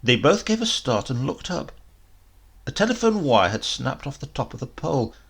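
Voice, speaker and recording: Guy reading a short story, dramatic and measured, raspy voice, really lively intonation. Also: mid-range voice. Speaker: story reader. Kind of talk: expressive literary story narration.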